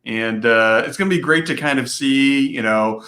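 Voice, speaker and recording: A man's voice: medium pitch, moderate pace, sharp tone. A man hosting a casual, conversational interview, talking in an informal, meandering way full of "you know" and "kind of". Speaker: moderator, host and family physician.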